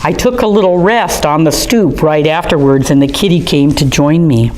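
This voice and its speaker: A middle-aged woman reading and chatting through a children's storytime at an art museum, gently enthusiastic as she shows pictures. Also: deep voice, moderate pace, low-quality recording.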